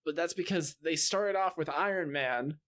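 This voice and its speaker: A man's voice: fairly high pitch, moderate pace, fairly bright tone. A man co-hosting a podcast, chatting casually and explaining his opinions with some swearing. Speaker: podcast co-host.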